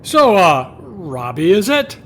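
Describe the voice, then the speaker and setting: A man's voice: high-pitched, big pitch swings, slow pace, fairly bright tone, a little hiss or hum, exaggerated voice. A man playing an inquisitive, skeptical undercover reporter in a radio drama, wry and a bit hesitant.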